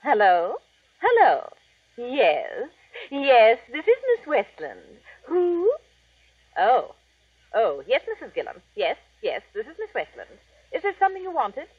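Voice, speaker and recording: An actress playing a haughty, gushing beauty salon owner with an affected, pompous manner in a 1940s radio play. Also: female voice, fairly high pitch, huge pitch swings, measured pace, slightly rough, no room sound, muffled recording, heavily acted. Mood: haughty, cool. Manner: affected, pompous, coolly condescending. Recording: thin, band-limited old radio recording.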